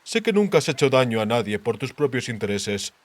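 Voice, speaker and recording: A man voicing a grave, worldly king in an audiobook, speaking in a measured, deliberate, cautionary way. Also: medium pitch, sing-song, dry recording, natural voice. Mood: grave warning. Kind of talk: audiobook character dialogue.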